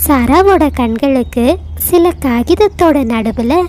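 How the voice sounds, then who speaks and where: A woman's voice: high-pitched, lively intonation, slow, a little mellow. A woman storyteller giving scripted narration of a children's tale.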